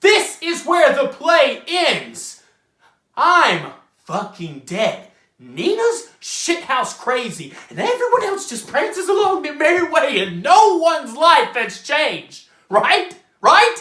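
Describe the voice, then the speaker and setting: A young man acting a bitter, self-pitying failed playwright in a theatrical monologue, halting and profane as he addresses the audience. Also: high-pitched, very animated, sharp tone, heavily acted. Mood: bitter, frantic. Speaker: student actor. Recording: roomy, echoing room sound.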